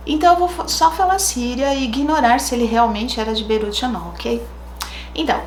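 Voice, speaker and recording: A Brazilian woman with a slightly high voice tells a true crime case in a relaxed, chatty way, thinking aloud in asides. Her voice rings in an echoey room, with a faint hiss behind it. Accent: Brazilian Portuguese. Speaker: true crime channel host. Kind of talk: casual true crime storytelling.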